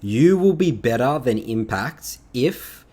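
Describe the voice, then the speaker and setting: A man's voice: mid-range voice, expressive, takes it slowly, dark tone, breathy edge. A man co-hosting a podcast, speaking bluntly and insistently in a casual but heated debate. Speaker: podcast co-host.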